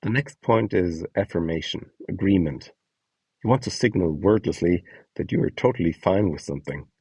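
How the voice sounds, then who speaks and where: A man giving instructional narration in a measured, explanatory way with a light touch of whimsy. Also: low voice, darkish tone, husky, no room sound. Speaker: presenter of a voice donation guide.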